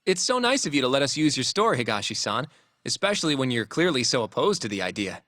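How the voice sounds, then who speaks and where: A courteous, earnest young man in a game cutscene, mid-pitched and even. His talk is polite and clear, with light teasing. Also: quick pace, dry recording, studio quality. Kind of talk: video game cutscene voice acting.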